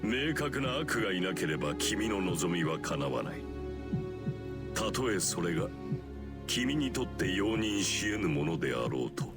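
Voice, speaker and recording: An actor playing a knowing, gravely taunting priest in a visual novel game, with solemn, oracular menace. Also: male voice, low voice, sing-song, fairly quick. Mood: solemn, provoking. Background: game music.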